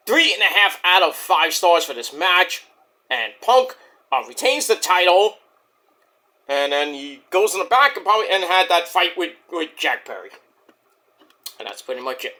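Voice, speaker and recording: High, bright-voiced man with a sore throat. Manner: rambling, profane, excitable bursts. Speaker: wrestling show reviewer. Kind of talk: casual spoken review.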